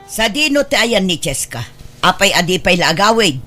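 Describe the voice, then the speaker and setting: A woman's voice: mid-range voice, very animated, normal speed, sharp tone, soft background music. A woman playing a stern, reproachful mother who scolds her daughters in a radio drama. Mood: scolding, exasperated.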